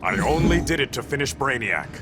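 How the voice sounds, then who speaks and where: Proud ocean king for a fighting game, male actor: commanding, formal, animated pitch, over loud music. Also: brisk, natural voice. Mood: commanding, threatening. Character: proud, stern warrior king of an undersea kingdom. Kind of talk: video game voice acting.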